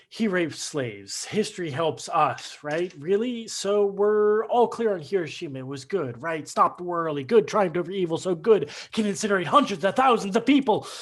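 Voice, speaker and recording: A man playing a disillusioned, brilliant son in a dramatic play reading, halting and sardonic. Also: fairly high pitch. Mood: bitter, sarcastic. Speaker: stage actor in a play reading. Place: video call, remote staged reading. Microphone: video call microphone.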